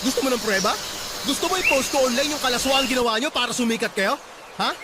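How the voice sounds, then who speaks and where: A man's voice: extremely high pitch, fast, noisy background. A man acting a vengeful wronged husband in radio drama, aggressive and taunting. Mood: menacing. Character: wronged husband, vengeful, menacing confronter of his wife's lover. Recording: loud music bed behind voice.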